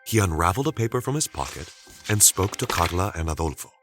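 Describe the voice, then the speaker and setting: A man narrating a scripted dramatic story deliberately, with suspenseful pauses. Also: low voice, animated, a bit bright, soft background music.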